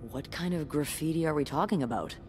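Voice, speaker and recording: A woman's voice: low voice, fast talker, a little mellow, big-room sound, soft background music, lightly acted. A woman voicing a wry, chatty hotel receptionist in a narrative video game. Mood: wry, gossipy.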